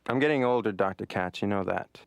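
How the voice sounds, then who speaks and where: An actor playing a deadpan patient who tells jokes to his therapist in a cartoon. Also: fairly low pitch, fairly quick, creaky, dry recording. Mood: dry. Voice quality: flat, deadpan delivery. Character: male adult patient, deadpan and self-mocking.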